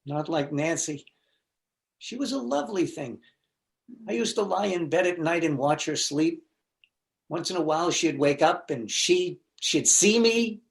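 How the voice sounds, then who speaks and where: Male actor as meek, lovelorn sad sack for stage comedy; high voice, self-deprecating, stammering delivery. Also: animated, a little slow, vocal fry. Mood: mournful, self-pitying. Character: neurotic, self-pitying jilted husband, meek and lovelorn. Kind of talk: stage comedy readers theater.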